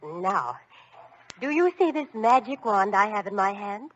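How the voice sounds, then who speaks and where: A woman's voice: medium pitch, expressive, unhurried, lightly acted. A woman playing a kindly, commanding fairy godmother in an old-time radio children's play, reassuring and theatrical as she gives instructions. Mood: brisk, magical. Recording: muffled, noisy vintage radio recording.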